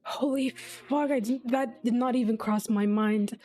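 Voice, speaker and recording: A woman's voice: a little high, fairly even intonation, a little slow, warm tone. Emotionally invested woman game streamer. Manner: emotionally invested, sweary, thinking aloud. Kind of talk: casual reaction commentary. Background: faint game music.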